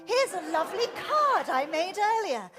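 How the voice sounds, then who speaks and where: A woman's voice: very high-pitched, big pitch swings, normal speed, crowd noise. A woman playing a singsong children's craft show presenter in an improvised stage comedy. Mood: chirpy. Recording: music and audience behind.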